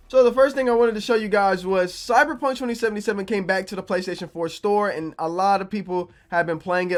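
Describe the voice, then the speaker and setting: A young man, a gaming YouTuber, talking casually to his viewers with a slightly raspy, low-energy voice from being sick. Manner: conversational, repeats himself, low energy. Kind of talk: casual opinion vlog to camera.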